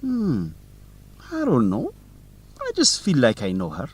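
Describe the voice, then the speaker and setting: A man's voice: medium pitch, wildly swooping pitch, unhurried, darkish tone, slightly rough, full character voice. A young man playing a bold, curious student journalist in an educational radio drama, with an inquisitive, teasing manner. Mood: amused, puzzled. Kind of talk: educational radio drama dialogue, simple clear English.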